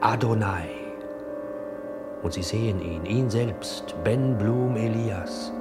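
Theatrical male narrator over loud music. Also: fairly low pitch, takes it slowly. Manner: theatrical, swinging from hushed to declaiming. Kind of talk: dramatic scripted narration of a radio play.

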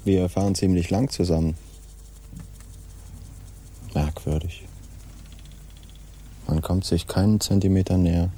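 An actor playing a weary, philosophical pirate in a radio play, speaking in a dry, resigned way. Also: male voice, deep voice, fairly even intonation, a little slow, long pauses, mellow, a little hiss or hum. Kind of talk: German radio play acting.

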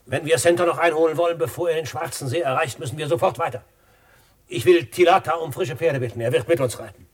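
An actor playing a calm, commanding frontier adventurer in a German radio drama, measured and authoritative. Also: male voice, on the high side, husky, dry recording. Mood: commanding, urgent.

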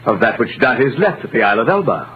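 A man performs an envious officer in a vintage radio play. He is animated and insinuating, his pitch moving widely. The recording is muffled, with faint hiss. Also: fast talker, close to an everyday voice. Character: scheming, envious male ship's officer, slyly insinuating. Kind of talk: 1930s radio drama acting.

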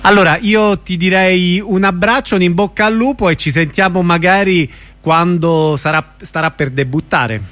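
Higher-voiced man hosting radio. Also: normal speed, hardly any pauses, fairly bright tone. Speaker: radio host, interviewer. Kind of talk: informal radio interview.

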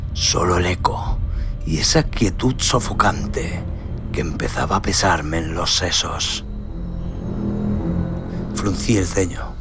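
Deep, gravelly male storyteller, slow and brooding. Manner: brooding, deliberate, theatrical, with hushed dips. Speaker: audio story narrator. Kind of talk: dramatic scripted narration of a western horror tale. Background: cinematic sound design and music.

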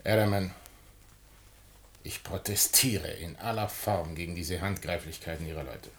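An actor playing a shrewd, blustery older uncle and science writer in a German radio play, wordy and wry. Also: male voice, low-pitched, a little slow, husky, exaggerated voice. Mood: indignant, wry.

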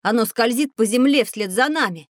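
An actress playing a curious, easily amazed child in an educational audio drama, eager and inquisitive. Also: female voice, fairly high pitch, lively intonation, normal speed, no room sound, clean studio sound, natural voice.

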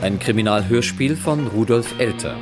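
A man's voice: on the low side, lively intonation, normal speed. A man announcing radio play titles in a scripted manner. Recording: loud plucked guitar music behind. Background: guitar music.

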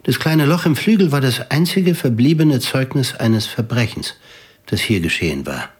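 A man narrating a literary radio drama in a measured, deliberate, scripted storytelling manner. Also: mid-range voice, expressive, slightly rough.

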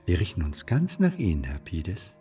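An actor playing an obliging, businesslike funeral director with a formal, salesmanlike manner in a radio drama. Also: male voice, low voice, wildly swooping pitch, normal speed, warm tone, slightly rough, recorded from a distance, faint music behind, exaggerated voice.

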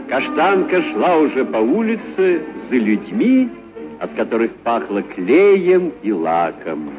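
A man narrating a literary story for radio, dramatically and expressively, drawing out certain words. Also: medium pitch. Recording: thin, narrow-band radio sound.

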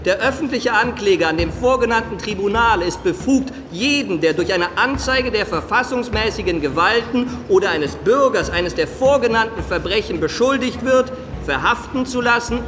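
A man's voice: sits high, lively intonation, moderate pace, background noise. A man playing an official who formally proclaims revolutionary decrees in a radio play. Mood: solemn, proclaiming. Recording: long hall reverb, loud music.

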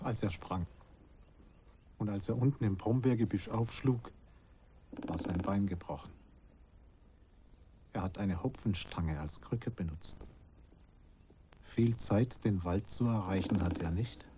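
An actor playing a sombre teller of a fugitive's ordeal in a radio drama, with terse, deliberate phrasing. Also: male voice, on the low side, mellow, slight background noise, natural voice. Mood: tense, sombre. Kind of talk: dramatic narration in a radio play.

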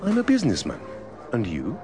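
Male actor as a flirtatious bar-side businessman, gravelly, very lively pitch. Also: fairly quick, over music. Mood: flirty. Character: smooth-talking Scottish businessman, flirting at a bar. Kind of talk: scripted language course dialogue.

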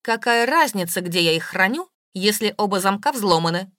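A woman's voice: medium pitch, animated, moderate pace, a bit bright, dry recording, natural voice. Audiobook role: indignant rich lady played by a female reader, theatrical, defensive, quarrelsome, dry close sound. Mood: indignant, snapping. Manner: dramatic, defensive.

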